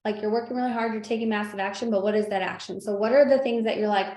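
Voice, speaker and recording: A woman's voice: mid-range voice, fairly even intonation, fast talker, darkish tone. Encouraging woman host, echoey room. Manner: encouraging, guiding questions. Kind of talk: coaching video call. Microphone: video call microphone. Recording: roomy echoey video call audio.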